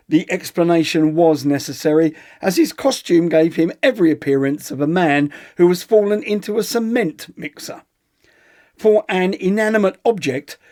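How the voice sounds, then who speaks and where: A man's voice: fairly high pitch, a little mellow. A man playing a pompous, withering theatre critic, reading a scripted comic review in an arch, theatrical manner.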